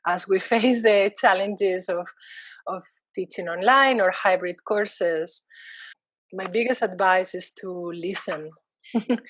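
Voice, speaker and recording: A middle-aged woman speaks in English in a reflective, unhurried way, pausing on ums and restarting phrases as she thinks. Her voice sits at a medium pitch. The recording is dry and close, with no room sound. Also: no echo. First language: Spanish. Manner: thoughtful, frequent ums and restarts. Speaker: university Spanish lecturer. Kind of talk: reflective interview talk.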